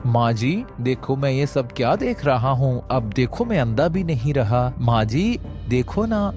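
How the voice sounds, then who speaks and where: Cartoon husband, male actor: earnest, reasoning, reproving, mid-pitched, over a music bed. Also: very animated, a little slow, a little mellow. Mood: amazed. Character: earnest husband, admonishing and sensible. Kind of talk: Hindi cartoon story acting. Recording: music behind the voice.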